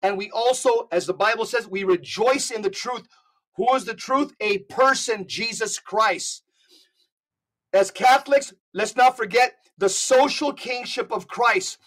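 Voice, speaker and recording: A man who is a guest on a podcast, talking in an emphatic, preachy way and spelling details out slowly. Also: very high-pitched, fairly bright tone, low-quality recording. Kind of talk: podcast conversation.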